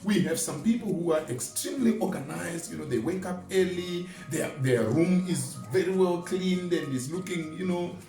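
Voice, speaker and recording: A man's voice: high voice, moderate pace, few pauses, warm tone. A man giving a conversational motivational talk, illustrating his points with everyday examples. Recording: echoey room, distant mic, music underneath. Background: music.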